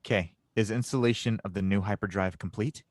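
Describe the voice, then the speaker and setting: A man's voice: fairly low pitch, fairly even intonation, a little fast, darkish tone, lightly acted. A man playing a determined, earnest young engineer hero in an audio drama read. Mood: focused.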